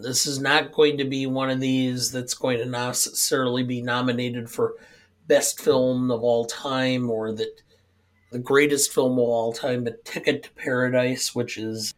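A middle-aged or older American man chatting casually about films, halting and measured, his voice scratchy from a cold. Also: mid-range voice. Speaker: podcast co-host. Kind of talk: casual film chat.

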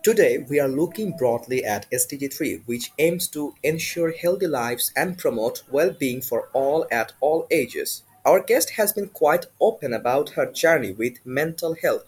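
A man's voice: on the high side, lively intonation, unhurried, few pauses, darkish tone, a little hiss or hum. A young man from Bangladesh co-hosting a student podcast, speaking politely and a little formally. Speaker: podcast co-host.

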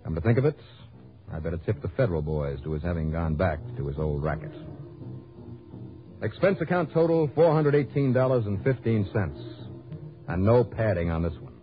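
An actor playing a tough, sardonic American private investigator in a radio drama, with a punchy, wry delivery. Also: male voice, sits low, sing-song, moderate pace. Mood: wry, matter-of-fact. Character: tough, sardonic male private investigator narrating his case. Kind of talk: 1950s-60s radio detective drama acting, first-person narration. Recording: dull vintage radio recording with music.

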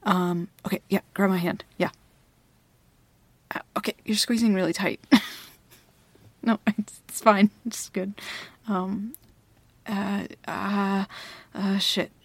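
A woman's voice: medium pitch, steady tone, slow pace, long pauses, breathy edge, dry recording. A young woman playing a flustered girl smitten with her best friend in an intimate ASMR roleplay, hesitant, stammering and giggly. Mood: nervous, flustered. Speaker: audio roleplay performer. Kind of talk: ASMR girlfriend roleplay, intimate one-sided dialogue.

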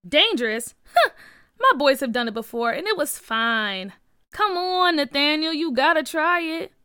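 Woman as cocky teasing boy, bright voice. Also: fairly high pitch, sing-song, normal speed, no room sound, clean studio sound. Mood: taunting. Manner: slangy, swaggering. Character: cocky teasing boy ringleader with a hidden heart. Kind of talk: acted children's story dialogue.

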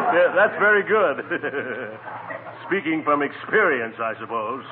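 Blustery, combative radio-comedy neighbor: pompous, sarcastic, very animated, over scratchy narrow-band 1940s audio. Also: male voice, medium pitch. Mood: mocking. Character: pompous, peevish neighbor man, combative rival.

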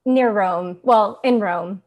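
A woman's voice: medium pitch, slow, darkish tone. A woman from Montreal whose first language is Italian, chatting casually as a podcast co-host. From Montreal, Canada. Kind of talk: casual, unscripted chat.

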